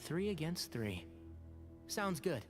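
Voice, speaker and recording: An actor playing a thoughtful young male healer in a video game, speaking in a gentle, deliberate, articulate way. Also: on the high side, very animated, music in the background.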